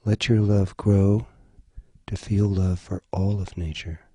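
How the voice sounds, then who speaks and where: A man leading a guided meditation, giving gentle, soothing instructions in short phrases. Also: lowish voice, level tone, slow, slightly rough, dry recording. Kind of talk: slow guided meditation narration.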